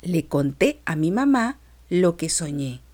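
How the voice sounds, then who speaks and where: A woman reading a children's lesson as a six-year-old girl who tells her dream in a slow, expressive sing-song. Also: lowish voice, soft background music, pitched below the performer's own voice. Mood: cheerful.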